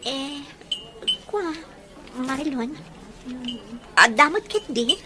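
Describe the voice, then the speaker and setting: A woman's voice: high voice, expressive, extremely slow, noisy background, heavily acted. A woman with an Ilocano accent playing a modest young daughter in love in a serial drama. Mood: hesitant, earnest. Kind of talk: Ilocano radio-style serial drama acting.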